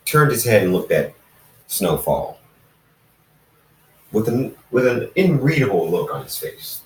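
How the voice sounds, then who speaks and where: A man reading fan fiction aloud as an amateur narrator, halting and often going back to repeat phrases. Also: on the low side, big pitch swings. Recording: roomy echo, distant from the mic.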